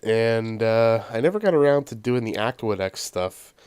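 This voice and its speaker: A man's voice: medium pitch, expressive, normal speed, a little mellow, clean tone, dry recording, studio quality. A man hosting a gameplay series in casual, rambling commentary with a stuffy nose from a sinus cold. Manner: rambling, many ums and uhs. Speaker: let's play host.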